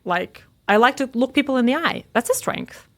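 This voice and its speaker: Animated woman talking about teaching. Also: a little high, moderate pace. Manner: animated, enthusiastic, many restarts. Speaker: guest, pianist and teaching assistant. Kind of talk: conversational interview answers.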